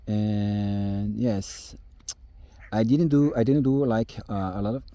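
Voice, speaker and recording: A man's voice: on the low side, steady tone, takes it slowly, mellow, no room sound. A man with a Brazilian Portuguese accent, speaking hesitantly with many fillers in a casual outdoor farm vlog. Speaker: farmer vlogger.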